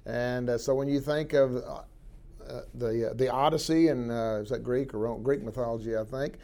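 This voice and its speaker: A man's voice: medium pitch, fairly even intonation, normal speed, warm tone. A man, a guest telling stories about his life in a rambling way with many fillers. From southwestern Oklahoma. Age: 60s. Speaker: interview guest, wildlife biologist. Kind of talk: relaxed storytelling interview.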